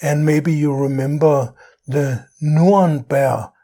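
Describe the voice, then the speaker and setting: Slow-paced Danish man giving political commentary. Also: on the high side, steady tone, mellow. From Denmark. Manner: halting delivery with non-native English phrasing. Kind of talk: opinionated political monologue.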